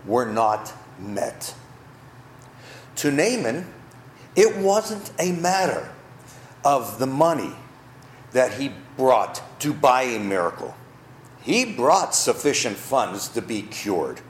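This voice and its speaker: Male preacher, sermon, lively storytelling, rhetorical questions, echoey church sanctuary. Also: mid-range voice, a little hiss or hum. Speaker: pastor.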